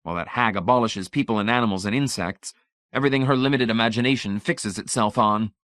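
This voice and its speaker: Storybook role: man as a clipped, brooding middle-aged man, low-voiced. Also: fast, a bit bright, no room sound, clean studio sound, lightly acted. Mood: terse. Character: curt, tense middle-aged man set on a plan.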